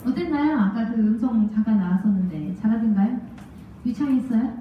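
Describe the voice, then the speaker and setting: A woman in her thirties lecturing a class with a handheld microphone, lively and quizzing students, laughing often. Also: a little high, fairly quick, slight background noise. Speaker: language test instructor. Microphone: handheld mic, far camera. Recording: echoey classroom from far off, dull.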